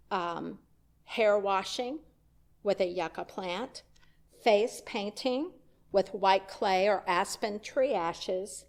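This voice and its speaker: A woman minister delivering a sermon, slow and deliberate, with emphatic rising questions. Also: medium pitch.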